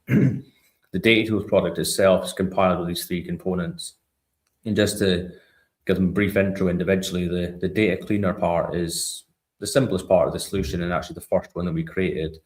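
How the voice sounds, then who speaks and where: A man in a conversational product walkthrough as an interview guest, explaining things informally and checking in with small tag questions. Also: low-pitched.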